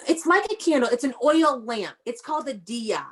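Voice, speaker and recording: A woman's voice: high-pitched, big pitch swings, moderate pace, husky. An encouraging woman teaching young children. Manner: encouraging, praising, instructing step by step. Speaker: elementary school teacher. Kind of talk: interactive lesson for young children.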